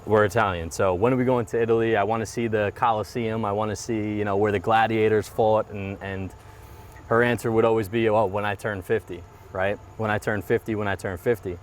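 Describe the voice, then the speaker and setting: A man's voice: fairly low pitch, fairly even intonation, brisk, slight background noise. A man in his thirties talking earnestly and reflectively in a casual interview, often repeating his phrases. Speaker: guest, mortgage business owner. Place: outdoors by a parked car.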